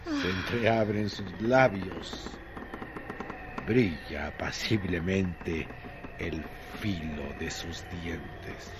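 A man narrating a dark radio drama in a solemn, measured, literary way with long pauses. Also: sits low, background noise. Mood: grave, suspenseful.

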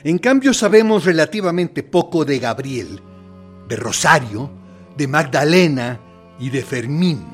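An actor in a radio play voicing a military interrogator who plays good cop, deliberate, condescending and mock-friendly, with menace in his theatrical pauses. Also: on the high side, animated, soft background music. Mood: threatening. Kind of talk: dramatic radio play monologue.

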